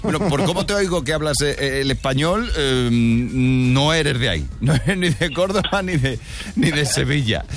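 A man's voice: mid-range voice, lively intonation, moderate pace. A man with an accent from Spain hosting a morning radio show, chatting and bantering with callers in an encouraging way. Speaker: radio host. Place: radio studio.

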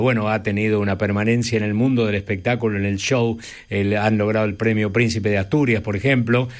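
A man with an Argentine Rioplatense accent hosting radio, chatting expressively between interview and music commentary. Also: medium pitch. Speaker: radio host.

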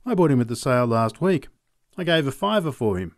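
A man's voice: medium pitch, sing-song, a little fast, dry recording, studio quality. A man voicing a cowardly, wheedling farmer in an audiobook. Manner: pleading, wheedling salesman's patter. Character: wary, cowardly man hiding among his cows. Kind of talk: audiobook character voice.

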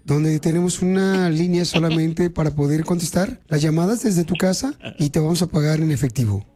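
A man making a radio prank call, teasing in a deadpan voice and rambling like a lonely caller. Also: on the high side, moderate pace, soft background music.

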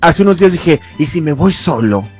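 A male reporter with a Mexican accent chats casually and often repeats himself, checking that he is followed. His voice is a little high. Faint music sits behind it. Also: lively intonation, brisk, a little mellow, husky. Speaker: reporter on a show. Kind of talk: casual chat.

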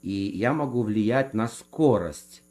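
A man in a theological debate who speaks in a measured way and quotes scripture to make his case. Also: on the low side, expressive, a little mellow, faint music behind. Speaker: guest defending speaking in tongues.

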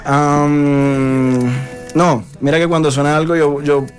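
Un hombre con acento de la costa caribe colombiana, invitado músico en una charla de radio, bromista y de risa fácil. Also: male voice, fairly high pitch, flat delivery, slow, soft background music. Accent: Colombian Caribbean coast. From Colombian Caribbean coast. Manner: joking, laughs often, loose storytelling. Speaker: musician guest. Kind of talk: casual radio interview chat.

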